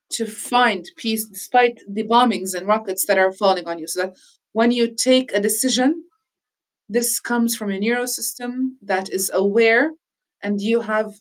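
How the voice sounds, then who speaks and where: Earnest woman speaking in measured phrases. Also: mid-range voice, poor audio. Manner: measured, earnest, with frequent restarts. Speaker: interviewee on healing practice. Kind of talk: reflective conversational talk.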